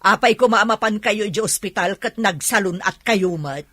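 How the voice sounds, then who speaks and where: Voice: medium pitch, normal speed, a bit bright, no echo, clean studio sound, natural voice. An actor with a Filipino accent playing a stern, unsympathetic boss in a radio drama. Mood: scolding.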